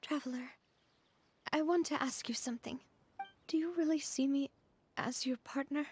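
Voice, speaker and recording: A woman playing a brave, gracious young noblewoman leader in an anime-style game, speaking in a measured, earnest way. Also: high voice, darkish tone, slight background noise, natural voice. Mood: wistful, tender.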